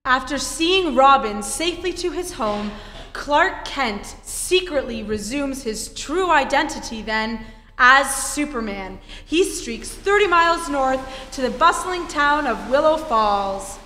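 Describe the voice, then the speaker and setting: A woman's voice: sits high, lively intonation, slow pace, bright, forceful tone, a little hiss or hum. A woman giving dramatic scripted narration in the style of a 1940s radio serial. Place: theatre stage before an audience. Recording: echoey hall, live stage performance.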